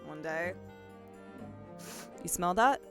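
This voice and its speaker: A woman's voice: animated, takes it slowly. A young woman streaming a game with friends, chatting, laughing and reading out dialogue, with game music behind. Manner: giggly, swearing, reads dialogue in a lower, quieter voice. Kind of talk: casual let's-play chat and text reading.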